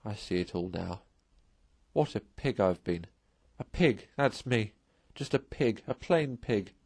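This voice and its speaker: A man's voice: lowish voice, expressive, takes it slowly, creaky, darkish tone, no echo, clean studio sound, exaggerated voice. A man voicing a kindly, decisive rat from a storybook, speaking in an old-fashioned, chummy and reassuring way. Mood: gloomy remorse. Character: kindly, hearty, decisive male rat, loyal companion.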